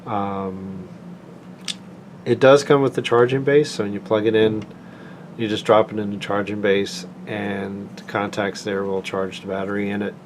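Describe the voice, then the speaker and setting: Low-voiced man giving an informal product review. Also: level tone, noisy background. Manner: halting, unscripted, plain-spoken explanation.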